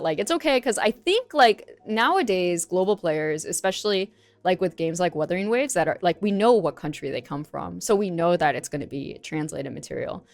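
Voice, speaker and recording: Young woman, lowish voice, chatty, filler-heavy, dry close sound. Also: smooth, no room sound. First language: English. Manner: chatty, many fillers, reads text aloud then comments. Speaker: streamer reacting to an article. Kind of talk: casual commentary while reading aloud.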